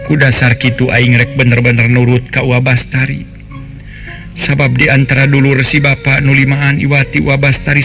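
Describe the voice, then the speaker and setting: A man's voice: mid-range voice, gravelly, natural voice. A man voicing an anxious young woman who muses and complains in earthy colloquial speech, in a dramatised folk tale. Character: anxious young woman, trusting niece, resentful of lecherous uncle. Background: faint music.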